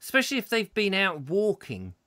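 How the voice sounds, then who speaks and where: A man's voice: high voice, wildly swooping pitch, a little fast, bright, forceful tone, no echo. A middle-aged English man hosting a comic chat show from a small home studio, playing up a stuffy persona and dropping to a mutter for his jokes. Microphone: headphones, desk mic.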